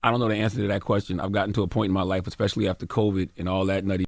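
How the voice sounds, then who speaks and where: A man with a low voice questions a guest as a radio interviewer. He talks quickly, and the sound is dry, with very little room. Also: level tone, vocal fry, dry recording.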